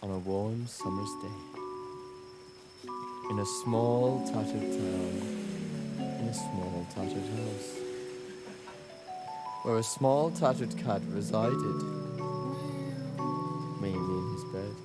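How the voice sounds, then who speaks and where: A man narrating a fable like a storybook, slow and solemn. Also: fairly low pitch. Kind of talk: storybook narration over music. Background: loud music.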